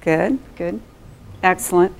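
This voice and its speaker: A woman's voice: fairly low pitch, takes it slowly, creaky. A middle-aged woman with a big voice presents live in a meeting room without a mic, engaging the audience with questions. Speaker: presenter at a professional chapter meeting.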